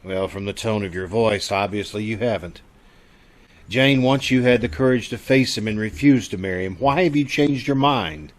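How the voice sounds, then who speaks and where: An actor playing a concerned, disapproving doctor in an old-time radio drama, speaking earnestly and haltingly. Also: male voice, fairly low pitch, natural voice. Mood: concerned, probing.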